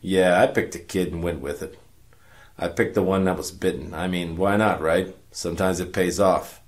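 A man playing a cocky, wry investigator, narrating his case in deadpan noir parody for a radio play. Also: low voice, moderate pace, close to an everyday voice. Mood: wry, smug. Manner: deadpan, sardonic.

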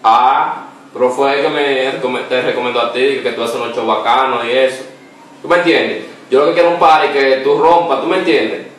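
A young man making a prank phone call from a couch, halting and repeating himself, holding back laughs. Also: mid-range voice, fairly bright tone, a little hiss or hum. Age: young adult. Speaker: prank caller. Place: small living room, seated on a couch. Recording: echoey room, far from the mic.

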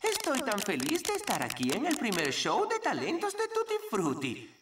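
A woman's voice: fairly high pitch, wildly swooping pitch, moderate pace. A woman voicing a tiny berry creature who hosts a cartoon talent show in a showy, jokey manner. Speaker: master of ceremonies. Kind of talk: animated cartoon stage announcing. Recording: roomy, distant, very noisy stage sound.

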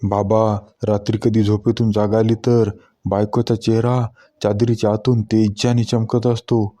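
A man's voice: lowish voice, level tone, measured pace, dark tone, natural voice. A man playing a husband in a cartoon comedy skit. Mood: puzzled.

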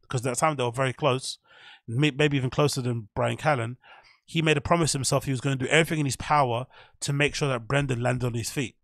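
A man talks through his theory in a loose, meandering way, often correcting himself as he goes. His voice has a gravelly edge. The sound is dry and close, with no room around it. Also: mid-range voice, a bit bright, no echo. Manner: rambling, self-correcting, opinionated. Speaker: podcast host. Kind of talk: casual commentary monologue.

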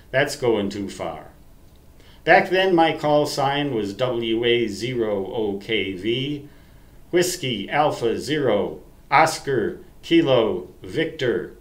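An American man in his sixties rambling through memories to the camera in a folksy, halting, self-deprecating way, recorded on a webcam mic in a room at home. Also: on the low side, echoey room. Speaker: amateur radio vlogger.

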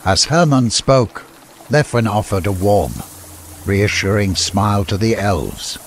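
Gravelly male storybook narrator. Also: lowish voice, lively intonation, takes it slowly, a little hiss or hum. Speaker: audiobook narrator. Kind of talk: scripted dramatic fantasy narration. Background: music.

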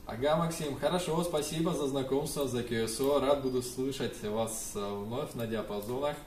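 A man's voice: medium pitch, moderate pace, a little mellow. A young man working as an amateur radio operator, making contacts and spelling out call signs. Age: young adult. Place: small indoor room with radio desk and computers. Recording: echoey room, distant mic, faint noise.

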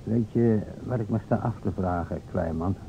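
A man's voice: lowish voice, moderate pace. An actor playing a short, fat, stolid and easily dismayed policeman in an old radio play. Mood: stolid, musing. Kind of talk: old-time Dutch radio play acting. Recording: dull old radio recording, clear hiss.

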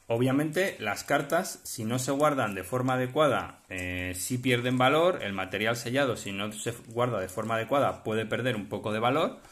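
A man with a Spain Spanish accent chatting off the cuff as a collector explaining things, halting and rambling as he checks in with listeners. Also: mid-range voice, fairly bright tone. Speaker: vlogger and card collector. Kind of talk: casual off-the-cuff explanatory chat. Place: indoors at a table, handling cards.

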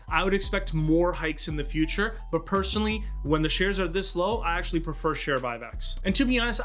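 A man in his thirties giving an energetic, emphatic stock analysis to camera from a small dim room. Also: on the high side. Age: 30s. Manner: energetic, emphatic, gestures a lot. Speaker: investing YouTuber. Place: small dim room with lamp and wood wall.

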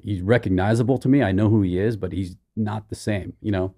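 A man's voice: fairly low pitch, brisk, mellow. A middle-aged man from Hawaii telling his own story casually as a podcast guest on a studio mic. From Hawaii, born in the Philippines. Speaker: podcast guest, military veteran. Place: small indoor podcast studio. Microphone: studio mic on boom arm.

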